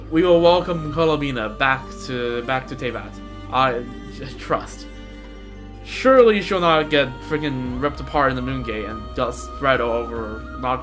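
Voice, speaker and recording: A man doing casual live game commentary as a streamer, rambling and halting as he repeats words. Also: medium pitch. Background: game music.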